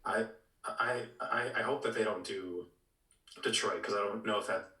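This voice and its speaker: A man co-hosting a podcast, giving opinions in casual chat with halting, repeated words. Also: on the low side, fairly even intonation, pushed and bright. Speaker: podcast co-host. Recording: echoey room, distant mic.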